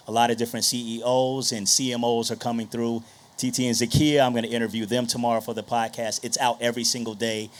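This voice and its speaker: A man with a medium-pitched voice moderates a live conference panel. He talks informally, like a podcast host pitching things to the room.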